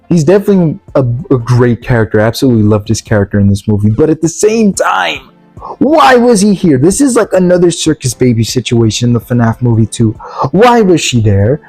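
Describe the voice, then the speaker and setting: A man's voice: medium pitch, warm tone, dry recording. A man giving a casual, opinionated review rant in an exasperated, emphatic way, often repeating rhetorical questions. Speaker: movie reviewer.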